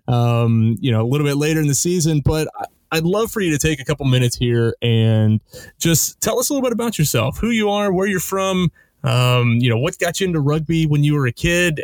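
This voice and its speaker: A man's voice: a little high, animated, a little fast, no echo. A man hosting a supporters' podcast from home, asking questions in a rambling way with many fillers and restarts. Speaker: podcast host. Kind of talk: casual podcast interview. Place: home during a storm. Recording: home mic, dry.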